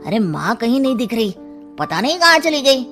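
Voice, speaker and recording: A woman voices a selfish younger son in a storybook tale. The voice is high, offhand and grumbling, with music behind. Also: sing-song, brisk, fairly bright tone. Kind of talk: storybook character acting.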